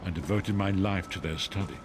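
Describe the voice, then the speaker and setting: An actor playing a frail, blind old prophet and mystic guide in a fantasy video game, speaking gravely in archaic, oratorical phrases. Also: male voice, low-pitched, a little fast, noisy background, natural voice. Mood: solemn, reflective. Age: older. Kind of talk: dramatic fantasy game voice acting.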